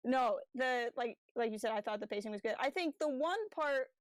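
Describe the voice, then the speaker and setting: A woman with a fairly high voice chats casually as a podcast co-host. Her voice is recorded very dry, with no room sound around it, as she talks through a review. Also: brisk, no room sound.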